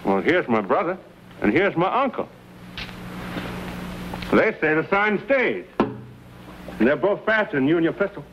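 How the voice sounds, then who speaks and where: A man's voice: on the high side, big pitch swings, measured pace, long pauses. An actor in his thirties playing a bold, wry frontier fur buyer in an old Western. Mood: wry defiance. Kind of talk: 1950s Western screen acting. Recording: dull, hissy vintage film soundtrack.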